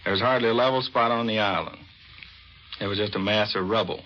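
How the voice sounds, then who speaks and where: A man's voice: on the low side, fairly quick, slight background noise. An American man, a navy commander, giving a plain, matter-of-fact scripted interview on 1940s radio. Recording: dull vintage 1940s radio recording.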